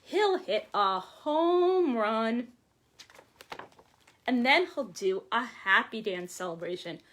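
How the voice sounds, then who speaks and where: A woman in her forties, a children's librarian reading aloud to young children with expressive, deliberate phrasing. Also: a little high. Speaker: children's librarian hosting storytime. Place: small room at home or office, by window. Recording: clearly noisy home video with clicks.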